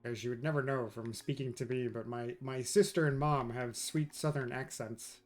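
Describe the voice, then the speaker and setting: A man from New York talks loosely with his viewers as he plays through a game. His voice sits at an ordinary middle pitch for a man. Faint game music plays quietly under his chatter. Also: steady tone, normal speed. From New York, USA. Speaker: streamer. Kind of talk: casual gameplay chat with viewers.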